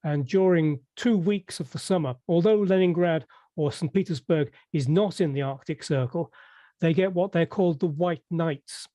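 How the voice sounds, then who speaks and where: An older man from Merseyside, speaking without a regional accent, telling his story in an interview in a measured way, full of fillers and restarts. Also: a little high, warm tone, no echo. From Merseyside, England. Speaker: interview guest. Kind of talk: conversational interview.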